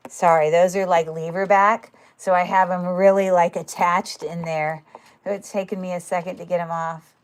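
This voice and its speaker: Woman, livestream seller, chatty, filler-heavy, lower voice, crisp clear sound. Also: flat delivery, normal speed. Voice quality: describes own voice as loud. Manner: chatty, many fillers, thinks aloud while handling items. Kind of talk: casual livestream sales chat.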